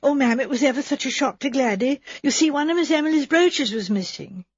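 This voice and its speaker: A woman's voice: sits high, a little fast, no echo, dull audio, voice pushed up, lightly acted. A woman voicing an anxious young housemaid who rambles and pleads breathlessly.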